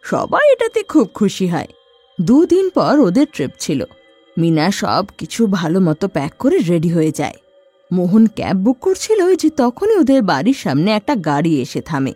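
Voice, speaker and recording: A woman narrating a horror story in a lively storytelling manner. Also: mid-range voice, normal speed, husky, dry recording, soft background music.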